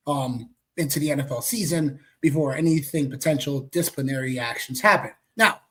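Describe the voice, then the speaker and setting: A young man with a raspy voice, co-hosting a casual sports chat in a hyped, slangy way. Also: a little high, low-quality recording. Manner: hyped, slangy, quick asides. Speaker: co-host.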